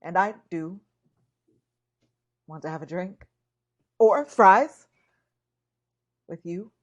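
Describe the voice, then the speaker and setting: An actress playing an awkward, earnest young seller turned investigator in a naturalistic radio drama. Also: female voice, fairly low pitch, lo-fi sound. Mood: flustered, smitten. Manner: halting, flustered, earnest, wry. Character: awkward, smitten young privacy-gear seller and amateur investigator, Lavender.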